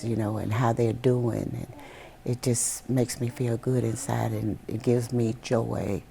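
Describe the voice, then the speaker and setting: Middle-aged woman, extremely low pitch, mellow tone, interview remarks, faint ambience. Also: gravelly, a little hiss or hum.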